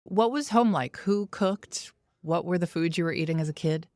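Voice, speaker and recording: A woman's voice: fairly low pitch, moderate pace, darkish tone, no echo, clean studio sound. A woman speaking as a podcast host, reading announcements and interviewing a guest. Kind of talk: scripted podcast intro and interview.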